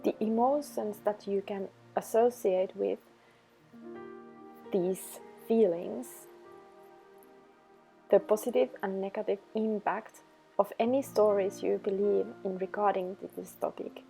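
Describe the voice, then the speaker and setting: Mellow, creaky-voiced woman guiding meditation. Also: medium pitch, steady tone, rough recording. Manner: slow, instructional, measured phrasing. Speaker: meditation guide. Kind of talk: guided meditation. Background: background music.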